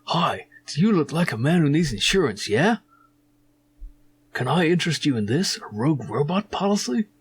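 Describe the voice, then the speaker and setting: A man voicing a slick, pushy insurance salesman in an adventure game, husky and wheedling. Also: a little high, very animated, normal speed, exaggerated voice. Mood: pushy, persuasive. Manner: wheedling sales patter with questioning tags.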